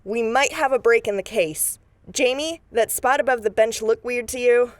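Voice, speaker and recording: A woman's voice: fairly high pitch, normal speed, no echo, lightly acted. A woman playing a businesslike, take-charge deputy investigator in a radio drama, plain-spoken and matter-of-fact. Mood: focused, deducing. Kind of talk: scripted radio drama acting.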